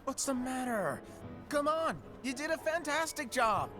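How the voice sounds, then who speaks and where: An actor playing a mysterious, playful hooded keyblade master in a game cutscene, breezy and secretive. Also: male voice, very high-pitched, animated, unhurried. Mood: teasing. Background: game soundtrack music.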